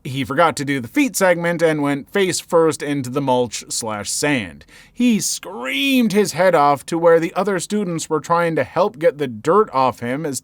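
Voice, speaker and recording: A man reads viewer stories out loud and comments on them as the channel's narrator. His voice sits a little high and moves a lot in pitch. The sound is close and dry, with no room around it. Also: no room sound. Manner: animated reading with commentary. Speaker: story narrator. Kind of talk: reading viewer stories aloud.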